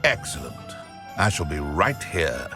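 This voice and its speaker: An actor playing a scheming, smug wizard shopkeeper in a video game, speaking in a sly, pompous, theatrical way. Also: male voice, rumbling low, sing-song, a little slow, music in the background, heavily acted. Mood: sly, persuasive. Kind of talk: video game character acting.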